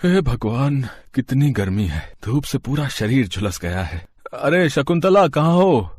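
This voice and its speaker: A man's voice: mid-range voice, very animated, unhurried, a little mellow, husky, no room sound. A man acts a tired, hard-up village family man in a storybook drama. He speaks in a mid-range voice, earnest and a little plaintive. The sound is close and dry. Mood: exhausted, calling out. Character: weary, poor village farm labourer, family man. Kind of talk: Hindi moral story voice acting.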